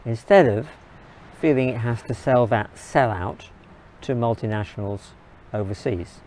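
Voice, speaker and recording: A man from England, giving an earnest, measured opinion monologue in short, halting phrases. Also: medium pitch, darkish tone, slight background noise. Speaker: video blogger.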